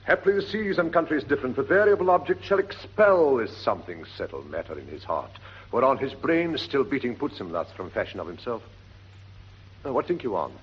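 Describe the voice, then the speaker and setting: An actor playing a shrewd, suspicious middle-aged king in 1940s British radio Shakespeare, measured and authoritative, with husky Received Pronunciation. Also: mid-range voice, sing-song, slight background noise, lightly acted. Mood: brooding resolve. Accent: English Received Pronunciation. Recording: dull 1940s radio recording.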